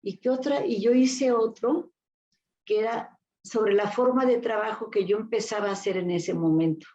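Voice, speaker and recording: A woman's voice: mid-range voice, moderate pace, mellow, lo-fi sound. An older woman with a Mexican accent, a therapist telling stories from her career in a rambling way, full of fillers and restarts. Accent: Mexican Spanish. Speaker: guest therapist. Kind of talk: casual interview storytelling.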